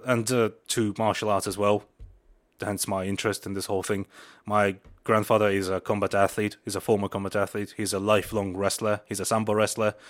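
Rambling man, low voice, podcast host. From Buryatia, Russia. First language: Mongolian. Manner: rambling, many fillers and restarts. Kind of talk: casual podcast intro.

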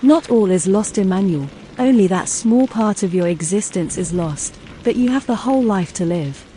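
A man voicing a gentle, consoling young woman in an audiobook, speaking softly and tenderly. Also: high-pitched, lively intonation, moderate pace, a little hiss or hum, pitched above the performer's own voice, low-quality recording. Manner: soft, tender, soothing. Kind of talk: audiobook character voice.

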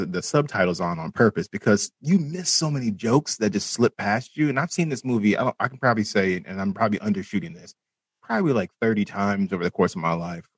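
A man's voice: fairly low pitch, breathy edge, dry recording. A man talking casually about movies as a podcast co-host, rambling along and swearing freely. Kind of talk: casual movie discussion among friends.

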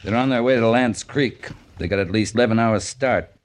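An actor playing a seasoned, terse cavalry captain in a 1950s radio western, speaking with laconic authority. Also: male voice, on the low side, moderate pace, lightly acted. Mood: grave, matter-of-fact. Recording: noisy old 1950s radio recording.

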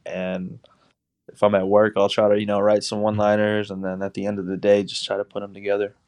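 A man guesting on a podcast as a musician, chatting casually and in a relaxed way with frequent fillers. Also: lowish voice, a little fast, dry recording. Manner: relaxed, uses fillers like um and you know. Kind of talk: casual interview chat.

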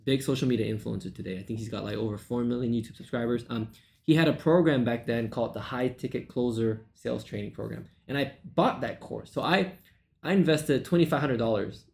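A man in his thirties, originally from Malaysia, speaking as a podcast guest at a middle pitch. He tells his story in long, run-on stretches, full of fillers and quick asides. The tone is conversational. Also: creaky, darkish tone. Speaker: sales coach guest. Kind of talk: podcast interview.

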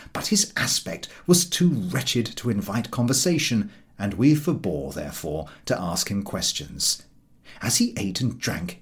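A man reading comic literature aloud in an expressive, theatrical storytelling manner. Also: mid-range voice. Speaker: audiobook reader.

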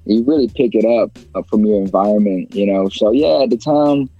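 A man's voice: fairly low pitch, a little fast, warm tone, husky, no room sound, muffled recording, soft background music. A young man from China, a rapper as interview guest, talking casually in a rambling way with many fillers and restarts.

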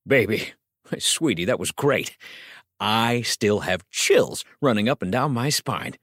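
A man's voice: on the low side, lively intonation, takes it slowly, a bit bright, slightly rough, no room sound, studio quality, pitched above the performer's own voice, heavily acted. A male narrator voicing a genial, eager talent agent with pushy, wheedling showbiz patter in an audiobook. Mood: flattering. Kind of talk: dramatized audiobook reading.

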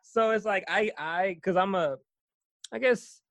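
A man's voice: high-pitched, measured pace, a bit bright, dry recording. A man interviewing a guest in casual chat, rambling and laughing often.

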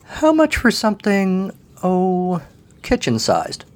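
A man voicing an eager, gushing female shopper in a comic audio story, slow and easily impressed. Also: high-pitched, huge pitch swings, pitched above the performer's own voice, full character voice. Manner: gushing, hesitant.

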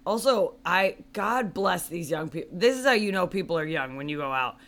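Podcast co-host, woman, loud voice, easy laugh, remote call audio. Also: fairly low pitch, lively intonation, moderate pace. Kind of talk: casual chat. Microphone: video call.

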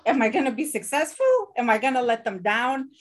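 A woman's voice: fairly high pitch, fairly quick. Earnest woman guest speaking candidly. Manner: earnest, reflective, self-questioning. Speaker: guest, community engagement director. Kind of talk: candid interview answers.

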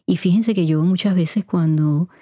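A middle-aged woman with a mostly neutral Puerto Rican Spanish accent, hosting a podcast and answering listener questions in an unhurried, chatty way, speaking straight to her listeners. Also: on the low side, dark tone, dry recording, clean studio sound. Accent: Puerto Rican Spanish, kept mostly neutral. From Puerto Rico. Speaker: podcast host. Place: home recording room. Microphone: studio mic.

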